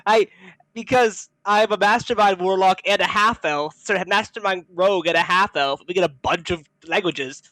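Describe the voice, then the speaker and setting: A man's voice: high voice, normal speed, bright, forceful tone, dry recording, low-quality recording. A man, a player in a tabletop game, chatting casually about his character and laughing often. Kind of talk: casual chat between game sessions.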